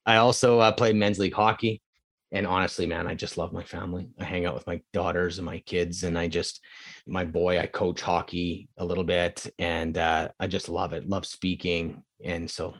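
A middle-aged man from Canada with a fairly low voice, talking as a guest on a podcast. He is emphatic and asks a lot of rhetorical questions. His tone swings between loud excitement and quiet reflection. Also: normal speed. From Canada (Edmonton). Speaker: real estate coach, guest. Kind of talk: casual podcast interview.

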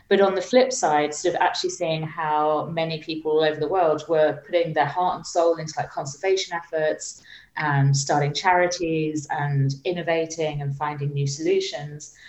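A woman's voice: deep voice, a little mellow. A woman in her late thirties with an English accent, answering conversationally as an interview guest. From Suffolk, England. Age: late 30s. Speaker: interview guest, magazine editor. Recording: roomy, echoey sound.

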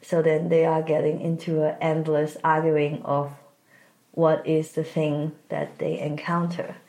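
A woman from Taiwan, a native Mandarin speaker with a Taiwanese accent, talking reflectively as an interview guest and pausing to search for words. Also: low-pitched, little pitch movement, warm tone, rough recording. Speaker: podcast guest, dance artist.

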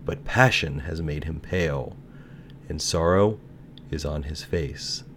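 A man's voice: a bass voice, slow pace, fairly bright tone, a little hiss or hum, heavily acted. A man voicing a small female nightingale, earnest and tender, in a storybook telling. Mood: admiring, tender.

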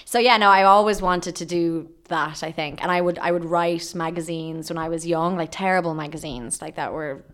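Irish woman in her thirties, guest on a chat podcast. Her voice sits a bit low for a woman, and she tells her stories in a rambling, filler-heavy way. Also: fairly even intonation, normal speed, hardly any pauses, fairly bright tone. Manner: chatty storyteller, lots of fillers, laughs often. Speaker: guest, radio journalist.

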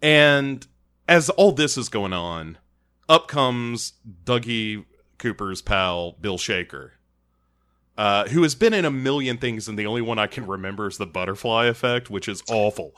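A man's voice: lowish voice, animated, a little slow, a bit bright. A man co-hosting a podcast, recapping an episode in casual chat with eager, emphatic talk and stammering restarts. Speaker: podcast co-host.

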